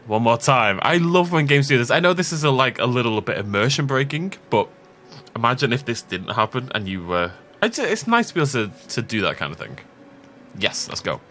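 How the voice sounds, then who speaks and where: A man's voice: medium pitch, fairly quick. A man, sniffly from a cold, chatting casually as he plays a game. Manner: chatty, rambling, animated. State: sick, itchy throat, sniffly. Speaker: let's play gameplay commentator. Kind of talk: casual gameplay commentary.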